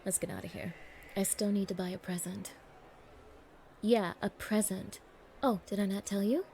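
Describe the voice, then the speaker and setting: A woman's voice: medium pitch, steady tone, moderate pace, gravelly, mellow, slight background noise, close to an everyday voice. A woman playing a spoiled, contemptuous young gold digger in an ASMR roleplay, talking in a condescending, mocking, bossy way. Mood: smug, casual. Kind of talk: ASMR roleplay audio acting.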